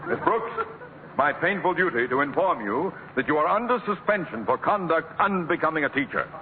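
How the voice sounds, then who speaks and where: An actor playing a pompous, blustering middle-aged school principal in an old radio comedy. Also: male voice, fairly high pitch, animated, fairly quick, in a large hall, noisy background, close to an everyday voice. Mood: indignant. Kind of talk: 1950s radio comedy acting. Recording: tinny old radio broadcast with audience.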